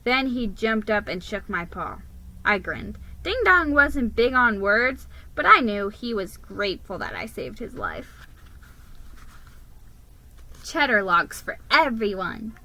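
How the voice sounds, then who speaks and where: A woman giving a dramatic, expressive reading of a children's story, stumbling over the odd word. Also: mid-range voice, slow pace, poor audio. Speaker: storybook reader.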